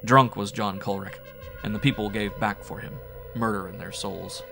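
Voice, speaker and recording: Man, lowish voice, theatrical horror narration, brooding old-fashioned storyteller, clear music behind. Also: moderate pace. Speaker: audiobook narrator.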